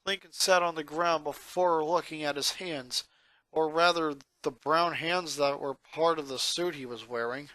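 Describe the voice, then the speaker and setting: A man reading a story aloud as narrator, in a dramatic, expressive storytelling style. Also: a little high, unhurried, dry recording, poor audio. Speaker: narrator, fan fiction reader.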